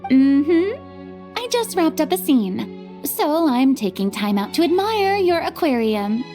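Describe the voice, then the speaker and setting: A woman playing a theatrical, exuberant young film director in an anime video game, with an expressive delivery. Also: high voice, takes it slowly, heavily acted. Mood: friendly. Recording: faint music behind.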